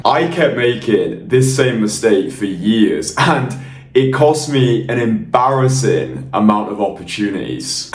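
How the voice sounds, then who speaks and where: A young man coaching in a blunt, emphatic and confident way, swearing freely. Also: lowish voice. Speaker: dating coach. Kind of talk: direct-to-camera coaching monologue. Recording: roomy sound, mic at a distance.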